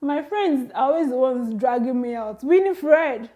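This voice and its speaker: A Nigerian woman in her thirties talking casually to camera, chatty and quick to laugh, with animated exclamations. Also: sits high. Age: 30s. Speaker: vlogger.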